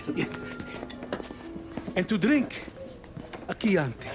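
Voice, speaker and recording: An actor in a video game playing a fawning, jovial Italian restaurant owner, effusive and deferential, with an Italian accent. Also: male voice, sits high, very animated, drawn-out words, over music, full character voice. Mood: obsequious, jovial.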